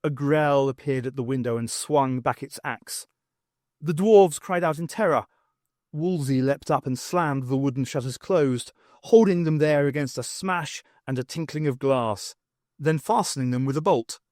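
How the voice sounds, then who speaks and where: A man narrating scripted fiction for an audiobook in an expressive, theatrical storytelling manner. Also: medium pitch, normal speed, no echo, studio quality.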